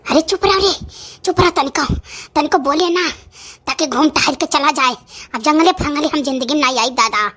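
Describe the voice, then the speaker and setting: A performer voicing a fretful, panicky cartoon village woman with a squeaky high voice and an Awadhi accent, wailing and pleading in comic exclamations. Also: normal speed, bright, forceful tone. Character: panicky squeaky village women fleeing a tiger, fretful and squabbling. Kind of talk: animated comedy dialogue in cartoon skit.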